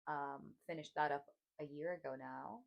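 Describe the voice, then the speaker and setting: A woman's voice: low voice, fairly even intonation, normal speed, creaky, muffled recording. A young woman in her twenties from the Middle East with an international-school, American-sounding accent, chatting casually as a podcast co-host and rambling with many fillers. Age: 20s. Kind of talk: casual chat.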